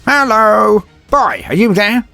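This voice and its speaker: A man's voice: sits high, sing-song, slow, vocal fry, pushed and bright, no echo, faint music behind, voice pushed up, full character voice. A man voicing an unseen story character who calls out urgently and cautiously to a boy. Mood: wary, calling out.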